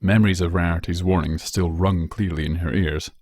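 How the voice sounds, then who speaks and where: A man's voice: low voice, steady tone, a little fast, a little mellow, slight background noise. A man reading scripted fan fiction as a storyteller.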